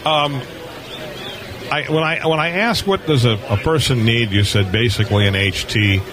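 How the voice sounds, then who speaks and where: A man co-hosting a live show from a convention booth, chatting casually and phrasing his questions in a halting, repetitive way. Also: mid-range voice. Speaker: radio show co-host. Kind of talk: casual live interview chat. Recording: busy crowd noise, live radio broadcast. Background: crowd chatter.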